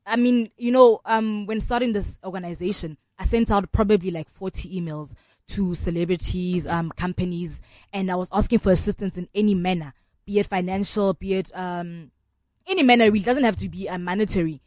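A woman's voice: medium pitch, a little fast, darkish tone, no room sound, dull audio. A woman in her twenties with a South African accent, talking earnestly in a radio interview and filling her talk with "you know". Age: 20s. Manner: earnest, fluent, many fillers like "you know". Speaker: law student and organization founder.

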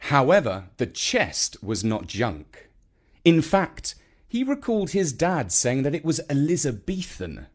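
A man with a British accent narrating a scripted children's story in a measured, expressive storytelling manner. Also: mid-range voice.

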